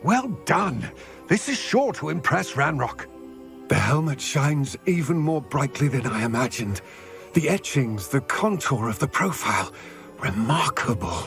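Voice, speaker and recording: An actor voicing an aging, proud goblin in a video game, speaking in a formal, measured, eloquent way. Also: male voice, medium pitch, very animated, fairly bright tone, faint music behind. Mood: pleased, grateful. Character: aging male goblin, solemn, proud, formal former fighter. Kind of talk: video game cutscene acting.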